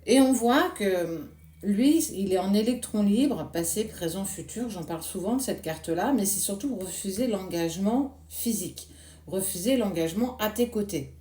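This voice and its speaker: A woman, hoarse this morning, giving a casual tarot reading and speaking reassuringly straight to the viewer. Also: medium pitch, animated, normal speed, dark tone, echoey room. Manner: addresses viewer directly with soothing reassurance. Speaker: tarot card reader.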